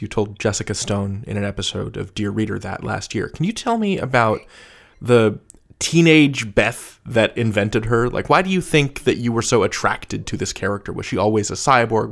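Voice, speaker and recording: A man's voice: fairly low pitch, creaky. A man conducting a conversational podcast interview, asking questions as the interviewer.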